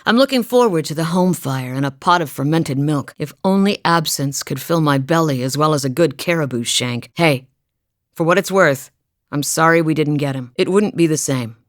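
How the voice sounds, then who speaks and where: A woman playing a wry, steady huntress from a cold northern village in a video game, speaking with dry humor. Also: low voice, moderate pace, no echo, natural voice. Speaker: video game companion character. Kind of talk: game voice lines.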